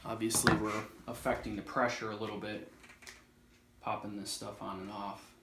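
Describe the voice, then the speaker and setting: A man's voice: fairly low pitch, level tone, normal speed, vocal fry, reverberant, heavy background noise. A man giving a casual product demonstration as a reviewer, thinking aloud in a loose, slightly hesitant way.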